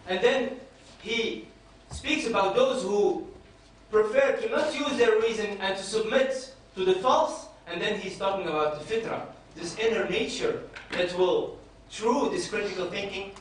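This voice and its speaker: A man in his thirties, raised in Belgium with Dutch as his first language, giving an earnest, measured lecture from a panel table in a hall, often restarting his phrases. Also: high voice, slight background noise. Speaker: panelist speaker. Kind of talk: public lecture. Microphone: table microphone.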